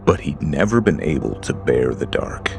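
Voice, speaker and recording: A man narrating a horror story in a slow, deliberate storytelling manner. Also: rumbling low, sing-song, creaky. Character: Lars, a frightened man alone in a dark house. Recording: music under the voice.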